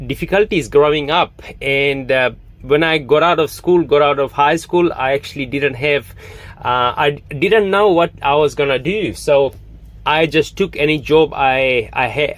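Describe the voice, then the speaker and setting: Man in his thirties, slightly high voice, casual pitch to camera, halting delivery. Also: fairly bright tone. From Fiji. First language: Hindi. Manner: halting, many fillers and restarts. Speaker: online business promoter.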